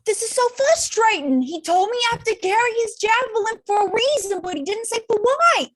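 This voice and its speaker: An exasperated young antiheroine from an action comedy, played by a woman at a table read, with an extremely high, whiny voice. The sound is close and dry, and the delivery is petulant and loud. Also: expressive, moderate pace, no room sound. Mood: frustrated. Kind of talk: amateur script table read.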